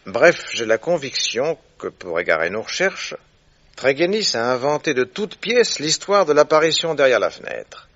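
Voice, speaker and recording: An actor playing a keen, courteous gentleman detective in a French radio drama, speaking in a deliberate, theatrical way. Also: medium pitch, sing-song. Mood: assured, explaining. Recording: dull, muffled old radio recording.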